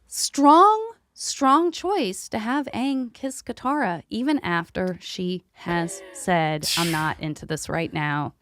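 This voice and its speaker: A woman's voice: mid-range voice, expressive, slow, a bit bright, a little hiss or hum. A woman co-hosting a casual podcast chat, speaking expressively and with emphasis. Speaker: podcast co-host.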